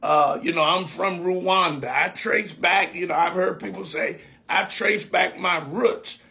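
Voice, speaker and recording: Bright, high-voiced man preaching. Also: measured pace, gravelly. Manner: emphatic, repetitive preacher cadence. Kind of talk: preaching in conversation.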